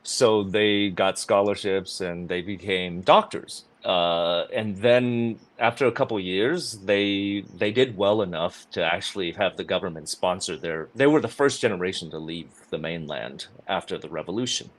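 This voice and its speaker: A man's voice: sits low, moderate pace, hardly any pauses. A man from China, a guest actor chatting casually in an interview, laughing easily and restarting his sentences.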